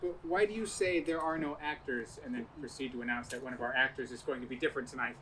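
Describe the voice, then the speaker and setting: A man playing a blunt, deadpan radio station assistant in community theatre. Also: medium pitch, fast, natural voice. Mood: puzzled. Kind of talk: community theatre stage acting. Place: outdoor stage in a parking lot. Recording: distant mic with noisy outdoor ambience.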